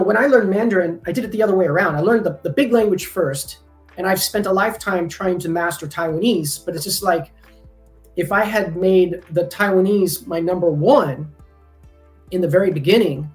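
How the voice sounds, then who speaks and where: A man in a casual podcast conversation, talking at length with frequent ums and restarts. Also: sits high. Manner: rambling, many ums and restarts. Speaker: guest, language company founder. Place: lives in Taiwan.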